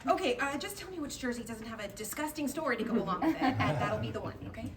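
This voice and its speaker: A distant recording with audience noise carries an exasperated, sardonic young daughter acted by a woman at a table read. Her voice is very high and swings freely as she makes blunt, wry comebacks. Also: expressive, fast talker, a loud crowd, close to an everyday voice. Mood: hesitant, wry. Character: exasperated, sardonic grown daughter in a family comedy. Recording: distant mic, audience behind.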